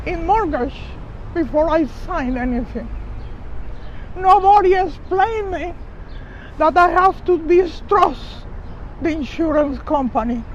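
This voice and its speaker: Older woman, Cuban accent, press conference speaker. Slow, deliberate delivery on a dull outdoor recording. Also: extremely high pitch, slight background noise. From Cuba. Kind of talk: public testimony speech.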